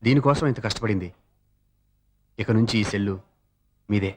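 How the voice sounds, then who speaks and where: A man's voice: fairly low pitch, normal speed, slight background noise, natural voice. An actor playing a confident, teasing, bossy young man in a Telugu comedy film. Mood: smug.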